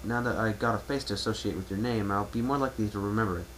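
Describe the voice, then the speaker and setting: A man's voice: fairly low pitch, racing, a bit bright, close to an everyday voice. A man playing a young male pony traveler who talks in a polite, casual, slightly hesitant and reserved way. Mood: friendly, earnest. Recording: noisy amateur home recording.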